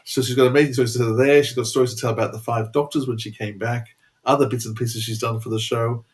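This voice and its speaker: A man's voice: medium pitch, little pitch movement, fast. An enthusiastic Australian man chatting casually as a podcast co-host, rambling along as he goes.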